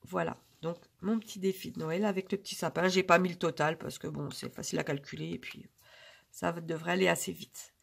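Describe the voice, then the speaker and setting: A woman with a hoarse, husky voice from a cold, chatting casually and rambling to her viewers with many pauses. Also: on the low side, fairly even intonation. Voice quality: gravelly. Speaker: budgeting vlogger.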